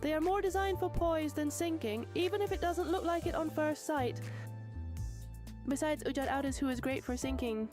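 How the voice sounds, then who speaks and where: A woman's voice: high voice, normal speed. A synthetic text-to-speech woman's voice reading out a viewer message on a livestream. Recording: music behind the voice.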